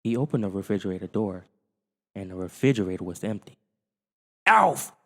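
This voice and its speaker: A man narrating a children's storybook in a theatrical, deliberate way. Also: deep voice, warm tone. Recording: long reverb, music underneath. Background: music.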